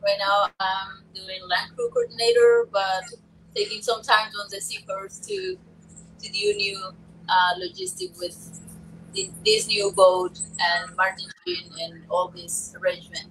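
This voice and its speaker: A young woman, a ship engineer, speaking informally and haltingly from a distance on a video call. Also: medium pitch, sing-song, fairly bright tone, soft background music. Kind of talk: informal self-introduction. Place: ship crew mess. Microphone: shared device on a video call, at a distance.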